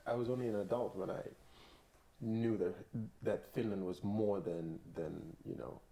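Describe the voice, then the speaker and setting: A man's voice: on the low side, moderate pace, mellow. A man hosting an interview, talking in a chatty conversational way and giving lots of short acknowledgments. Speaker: interview host. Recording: roomy sound with faint background noise.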